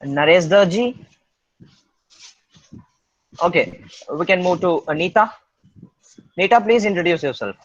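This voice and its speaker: A man's voice: sits high, measured pace, long pauses, no room sound. A man hosting an online meetup, inviting people one by one in a hesitant way with many fillers. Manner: hesitant, many "uh" fillers, calls names in turn. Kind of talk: casual virtual meeting. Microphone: video call. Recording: dull, dry video call audio.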